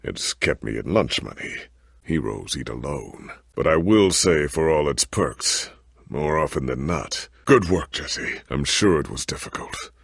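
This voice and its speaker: Deep, gravelly veteran warrior hero. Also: male voice, expressive, unhurried, no echo, studio quality, exaggerated voice. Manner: formal, grandiloquent, theatrical. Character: noble veteran warrior hero, proud, earnest, ailing. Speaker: voice actor in a video game. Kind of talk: dramatic video game dialogue.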